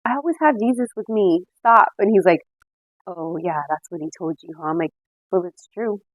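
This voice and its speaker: A woman's voice: fairly low pitch, normal speed, no echo, rough recording. A woman in her late thirties, slightly buzzed, chatting casually with friends and acting out what people said as she tells stories. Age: late 30s. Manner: animated storytelling with acted-out quotes, lots of "like". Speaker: podcast co-host. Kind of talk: casual boozy chat among friends.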